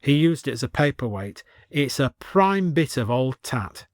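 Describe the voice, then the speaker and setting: A man's voice: mid-range voice, big pitch swings, a little slow, dry recording, clean studio sound. A man voicing an eccentric male dealer in odd junk, explaining proudly in an audiobook story. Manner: triumphant explaining.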